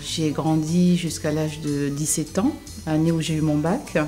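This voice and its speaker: A woman from French Guiana giving a personal testimony in an interview, speaking in a hesitant, reflective way with frequent pauses, fillers and restarts. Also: deep voice, fairly even intonation, mellow, soft background music.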